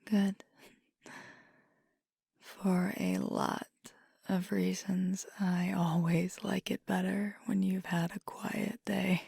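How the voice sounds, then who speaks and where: A woman playing an affectionate, teasing werewolf girlfriend in an ASMR roleplay, speaking in a soft, breathy, half-whispered voice with casual pauses. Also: lowish voice, level tone, dry recording, clean studio sound, heavily acted. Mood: tender, relaxed. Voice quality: breathy, husky, gravelly fry. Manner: casual, unhurried, hesitant pauses. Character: fiery werewolf girlfriend, affectionate, teasing, weary pack member.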